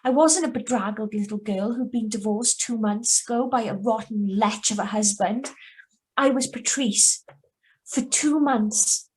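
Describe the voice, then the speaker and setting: An actress plays an anxious young woman hiding behind a false name in a suspense radio drama. Her voice sits fairly high. She tells and lives the story in the manner of old radio, read over a video call. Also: measured pace, a little mellow, natural voice, poor audio. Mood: confessional, tense. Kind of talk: 1940s-style radio suspense narration and dialogue. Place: remote live reading over video call.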